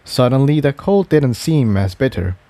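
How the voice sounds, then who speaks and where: A man's voice: medium pitch, expressive, moderate pace, warm tone, no room sound. A man reading a scripted adventure story aloud as its narrator. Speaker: fan fiction narrator.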